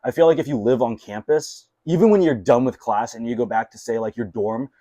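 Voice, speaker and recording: A man's voice: mid-range voice, fairly quick, dark tone, dry recording. A young man whose first language was Mandarin, hosting a casual interview chat and rambling with lots of fillers and restarts. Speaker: podcast host.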